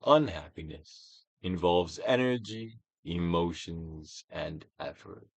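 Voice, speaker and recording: A man giving a dramatic, deliberate reading of a self-help book as a guided exercise, with pauses and big swells. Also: sits low, expressive, gravelly, slightly rough, no echo. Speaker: audiobook reader and actor.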